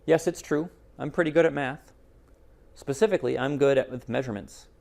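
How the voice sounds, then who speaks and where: A man reads as a proud little inchworm from a storybook. The voice has a creaky edge and explains things precisely, with calm self-assurance. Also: medium pitch, brisk, close to an everyday voice. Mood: proud, matter-of-fact. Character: proud, precise inchworm caterpillar fond of measuring. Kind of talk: children's picture book character reading.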